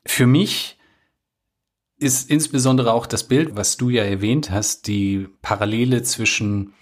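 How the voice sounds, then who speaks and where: A man hosts a conversation and leads it with careful, probing questions. His voice sits at a middle pitch for a man, and he sometimes repeats a word while he frames a thought. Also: measured pace. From Afghanistan. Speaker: interviewer.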